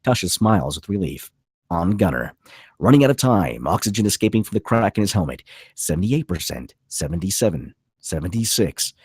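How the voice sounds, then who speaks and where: Male narrator for a screenplay read: low husky voice, very dynamic pitch, punchy clipped delivery. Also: big pitch swings, brisk, a little mellow, no echo, clean studio sound. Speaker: narrator reading stage directions. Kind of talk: dramatic scripted narration.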